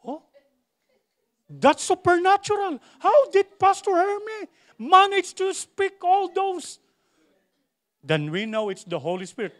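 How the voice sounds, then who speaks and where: A man's voice: very high-pitched, sing-song, measured pace, long pauses. A man preaching a sermon to a congregation, repeating phrases for emphasis and asking the church questions. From Philippines. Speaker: pastor.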